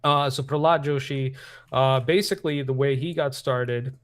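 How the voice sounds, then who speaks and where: A man's voice: mid-range voice, fairly even intonation, normal speed, dry recording. A man hosting a stream, chatting casually about politics with lots of fillers and restarts; English is not his first language. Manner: rambling, many fillers and restarts. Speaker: streamer host.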